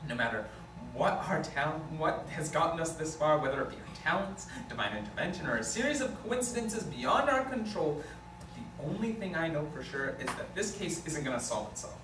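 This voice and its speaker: A man performs a bossy but hopeful lawyer at a table read, with a slightly high voice. The sound is roomy, with clear background noise. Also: big pitch swings, fairly quick. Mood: rousing. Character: earnest male lawyer, bossy, hopeful, given to speeches. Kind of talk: amateur script table read. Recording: distant, echoey, noisy room.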